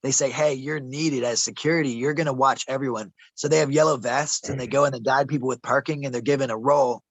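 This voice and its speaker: A man's voice: mid-range voice, fairly even intonation, quick pace, dry recording, poor audio. A man telling rambling, conspiratorial stories in a casual interview, calling the listener bro and man and stumbling over some words. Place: outdoors in a desert camp.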